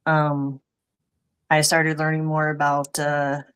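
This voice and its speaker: A woman guest on a podcast, answering in a halting way and searching for words with many fillers. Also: sits low, monotone, fairly bright tone, clean tone. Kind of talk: conversational interview answers.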